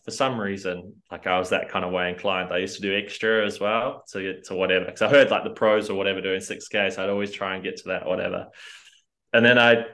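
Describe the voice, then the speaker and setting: A man's voice: on the low side, pushed and bright. A man in his twenties, raised in the north of England, now speaking with a New Zealand accent, telling his story in a rambling casual way full of fillers. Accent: New Zealand, with northern English roots. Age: 20s. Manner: rambling, lots of fillers like "like" and "kind of". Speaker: triathlete guest.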